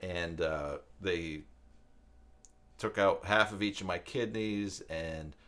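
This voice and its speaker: Middle-aged male live stream host, lowish voice, casual, rambling, thinks out loud. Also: takes it slowly. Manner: rambling, thinking aloud, repeats himself. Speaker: live stream host, web tutorial maker.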